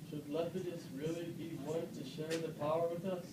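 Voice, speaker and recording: A scheming animal war leader played by a man gives orders in a puppet play. The voice is a little high, distant and buried in loud noise. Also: little pitch movement, brisk, natural voice. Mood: scheming, commanding. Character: scheming male animal leader, Antony, commanding. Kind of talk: amateur read-aloud puppet play. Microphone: far camera. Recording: echoey classroom, distant mic, loud noise.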